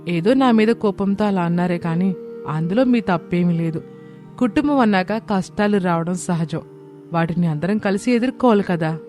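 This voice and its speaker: A man voicing a gentle, caring young woman, a respectful and soothing daughter-in-law in a moral story. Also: sits high, moderate pace, faint music behind, close to an everyday voice. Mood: gentle, consoling. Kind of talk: dramatised Telugu moral story.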